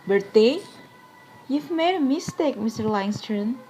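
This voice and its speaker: A woman acting a troubled, gracious married lady in a stage play, speaking earnestly and formally with some halting. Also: fairly high pitch, lively intonation, mellow, slight background noise, low-quality recording. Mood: gracious, surprised.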